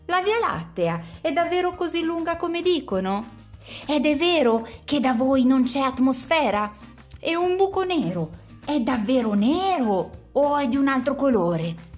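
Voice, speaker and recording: A woman's voice: sits high, animated, darkish tone, faint music behind, pitched above the performer's own voice. A woman doing an eager curious schoolboy. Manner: rapid eager questions.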